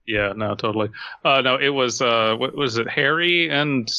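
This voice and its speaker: A man's voice: mid-range voice, moderate pace, sharp tone, no room sound, dull audio. A man co-hosting a podcast, telling stories casually with sarcasm and plenty of swearing. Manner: sarcastic, profane. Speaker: podcast host. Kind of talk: casual comedic chat.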